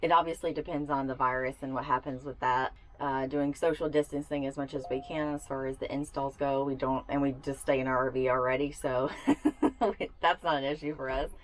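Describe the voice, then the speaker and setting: A woman's voice: a bass voice, steady tone, brisk, poor audio. A woman co-hosting a camper vlog, chatting casually to camera from inside a small camper, with lots of fillers and restarts. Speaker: co-host of a camper solar install channel.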